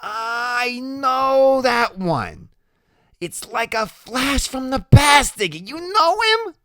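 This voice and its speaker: Man putting on a high drawling biker's woman. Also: very animated, slow, fairly bright tone, dry recording, studio quality, exaggerated voice. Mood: probing. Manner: drawling, nagging, uneducated slangy talk. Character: squinty, smoking, nervy low-class biker's woman.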